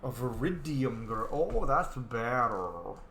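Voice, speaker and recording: Gravelly man muttering through a game. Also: medium pitch, very animated, slow pace, a bit bright. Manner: mumbling, talking to himself while playing. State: tired. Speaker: game streamer. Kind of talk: casual gameplay commentary. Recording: roomy with clear background noise.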